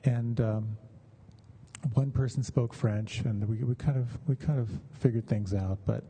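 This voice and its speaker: A middle-aged or older man giving a conversational meditation talk with personal stories, speaking in a measured, halting way with pauses. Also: mid-range voice, steady tone, dark tone, slightly rough.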